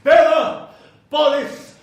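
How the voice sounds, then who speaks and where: An actor playing a melodramatic, evil and lonely vampire lord in classic horror radio style, grandiose and theatrical. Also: male voice, very high voice, level tone. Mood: exasperated, menacing. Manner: hammy, grandiose, slow theatrical declaiming. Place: small live venue with audience. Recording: roomy live stage recording, background noise.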